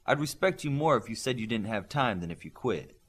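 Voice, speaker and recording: A man's voice: medium pitch, expressive, a little fast, fairly bright tone, breathy edge. Stern male combat trainer for audiobook fiction, firm and blunt, varied intonation, acted by a male reader. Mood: firm, demanding. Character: stern, demanding male combat coach of superheroes. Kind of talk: audiobook character dialogue.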